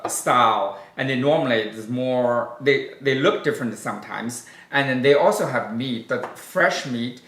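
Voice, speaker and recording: A middle-aged man from China with a Mandarin Chinese accent, co-hosting a casual food chat, pausing often with "uh". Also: medium pitch, fairly bright tone, echoey room. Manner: halting, many "uh" pauses. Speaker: co-host.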